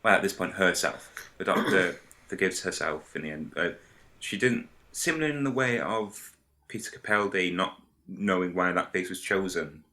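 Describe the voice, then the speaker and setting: A man in a casual podcast discussion, explaining his ideas in a hesitant, halting way. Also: deep voice, expressive, pushed and bright. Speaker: podcast panellist.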